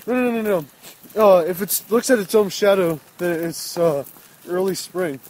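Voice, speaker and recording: A young man co-hosting a casual podcast outdoors, animated and profane, recorded on a friend's phone. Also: sits high, normal speed. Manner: animated, swears often, gets louder when making a point. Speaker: podcast co-host. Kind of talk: casual chat while walking outdoors. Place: outdoors on a cold walk. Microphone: friend's handheld phone.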